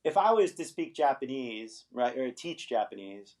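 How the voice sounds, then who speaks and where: A man's voice: medium pitch, big pitch swings, moderate pace, a little mellow. A middle-aged man hosting an English-teaching livestream, chatting casually with viewers and rambling, often tagging "right?" onto his points. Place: Los Angeles.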